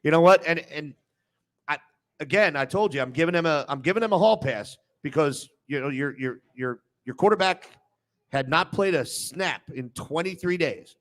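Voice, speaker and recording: A man with a fairly high-set voice hosts a casual sports talk show. He is opinionated and emphatic, and likes to jump in on the banter. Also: normal speed, a bit bright.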